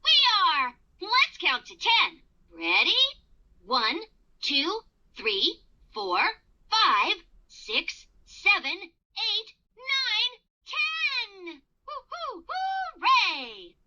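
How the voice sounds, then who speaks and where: A performer voicing a friendly young owl in a children's cartoon, speaking in a playful, expressive sing-song way directly to little children. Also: very high voice, very slow, full character voice. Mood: cheerful, encouraging. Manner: exaggerated, expressive, encouraging, addressing the child directly. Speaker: children's educational cartoon character. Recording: dull lo-fi sound, music behind.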